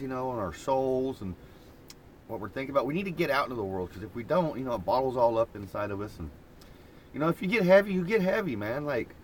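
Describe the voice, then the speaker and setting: A man's voice: medium pitch, a little hiss or hum. A man from Oklahoma with an Oklahoma accent, rambling in a folksy, casual live chat from an old camper van while reading viewer comments. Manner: rambling, folksy, laughs often.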